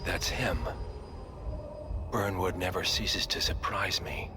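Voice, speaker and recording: An actor playing a cold, superior male mastermind in a game cutscene, speaking in a deliberate, condescending way. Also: deep voice, steady tone, over loud music. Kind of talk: video game cutscene acting.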